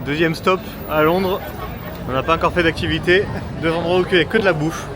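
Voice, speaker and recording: A man's voice: a little high, fairly even intonation, fairly quick. A young man hosting a casual travel vlog, talking to a handheld camera in an upbeat, joking way as he walks through a hotel room, busy streets and shops. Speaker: vlogger host. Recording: loud music and crowd noise behind.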